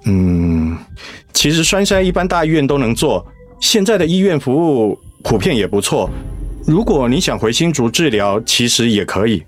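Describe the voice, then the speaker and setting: A doctor in a radio drama, with a medium-pitched voice. He is calm and tactful, speaking in a measured, professional, reassuring way over quiet music.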